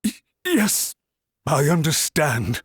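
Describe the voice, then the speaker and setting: Nervous high-pitched male official. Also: sing-song, slow pace, husky, no echo, clean studio sound, exaggerated voice. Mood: cowed, deferential. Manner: anxious, pleading, deferential. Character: nervous, apologetic male government official, deferential subordinate. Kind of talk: scripted game voice acting.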